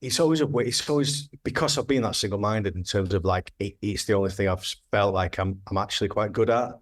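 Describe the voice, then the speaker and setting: A man's voice: fairly low pitch, fairly quick. A man in his thirties, a graphic designer, talking casually in a podcast interview, rambling and restarting his sentences. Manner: rambling, hesitant, with frequent restarts.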